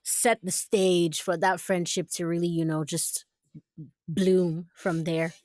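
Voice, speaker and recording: A woman's voice: on the low side, level tone, slow pace, a little mellow, dry recording. Thoughtful woman, very dry close sound. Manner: thoughtful, hedging, conversational. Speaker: voice actor guest. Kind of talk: casual reflective interview talk.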